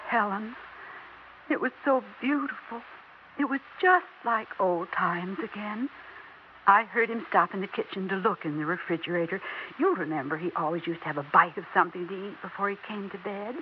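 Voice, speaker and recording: Actress doing an american widowed mom, fragile and deluded, very theatrical old radio play, muffled with some hiss. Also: female voice, mid-range voice, lightly acted. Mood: dreamy, wistful. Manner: theatrical, wistful, emotionally overwrought. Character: fragile, deluded, fiercely devoted middle-aged widowed mother. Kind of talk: 1960s radio drama acting. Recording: muffled, noisy 1960s radio recording.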